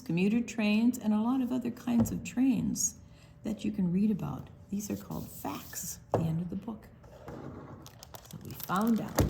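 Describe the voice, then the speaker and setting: A woman reading a picture book aloud to young children, animated, pausing to explain things simply and ask questions. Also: mid-range voice, gravelly. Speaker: children's story time reader.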